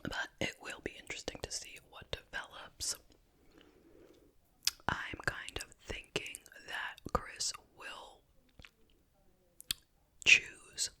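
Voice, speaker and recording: A person whispering in a breathy, unvoiced voice, chatting casually and without hurry as an ASMR host during a meal. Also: bright, forceful tone. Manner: casual, unhurried rambling while eating. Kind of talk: whispered ASMR chit-chat mukbang.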